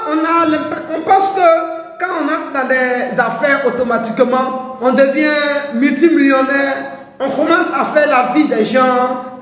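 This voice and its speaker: A man's voice: very high-pitched, echoey room. A man giving a motivational talk as an entrepreneur and coach, speaking emphatically and repeating phrases for stress. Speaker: livestock-farming entrepreneur and coach.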